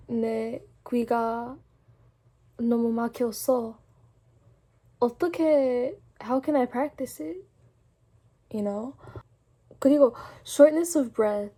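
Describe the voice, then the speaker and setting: A woman's voice: on the high side, moderate pace, long pauses, dark tone, husky. Sick young woman with a weak voice. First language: English. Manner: chatty, laughing, lots of "like" fillers. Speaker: vlogger and language student. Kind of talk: casual vlog chat mixing English and learner Korean.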